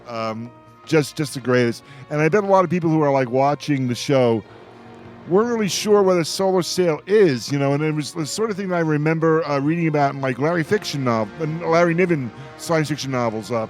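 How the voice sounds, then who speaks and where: Effusive, halting man hosting a fan roundtable. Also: mid-range voice. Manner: effusive, halting with repeated words. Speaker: radio roundtable host. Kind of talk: enthusiastic fan discussion.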